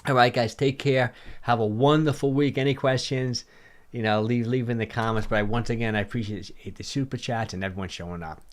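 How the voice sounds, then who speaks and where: A middle-aged man hosting a livestream, a little hoarse from a cold, answering viewer questions in a rambling, casual way and often repeating himself. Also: medium pitch, brisk. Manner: rambling, repeats words, restarts sentences.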